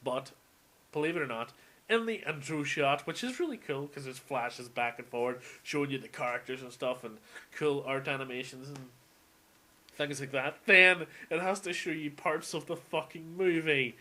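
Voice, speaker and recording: A man's voice: fairly high pitch, normal speed, bright, forceful tone. Opinionated man rambling off the cuff. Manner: opinionated, sweary, emphatic. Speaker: solo host of an audio log on games and films. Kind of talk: unscripted rambling casual rant.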